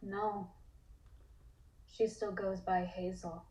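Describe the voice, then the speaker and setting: A woman's voice: medium pitch, flat delivery, slow, warm tone, natural voice, low-quality recording. A woman playing a drugged, withdrawn young artist held in an asylum, in a script read-through. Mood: flat, withdrawn.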